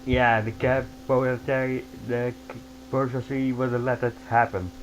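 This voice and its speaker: A man hosting a casual online call, explaining things slowly with halting restarts. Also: mid-range voice, flat delivery, highs cut off. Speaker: host. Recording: faint mains hum behind.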